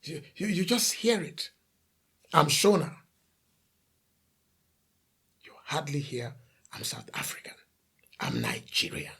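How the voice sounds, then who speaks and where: A man's voice: on the high side, huge pitch swings, slow, gravelly, long pauses, a bit bright, husky, whispered. A man from The Gambia preaching a sermon as a pastor, emphatic, asking rhetorical questions and repeating lists of phrases.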